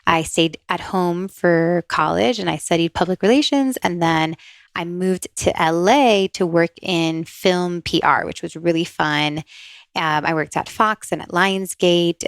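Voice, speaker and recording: Woman, podcast host, casual solo chat, upbeat and chatty, low-pitched, dry close mic. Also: a little slow, vocal fry, dry recording. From USA, New Jersey born, raised in Miami. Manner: chatty, upbeat, laughs often. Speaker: podcast host and intuitive reader.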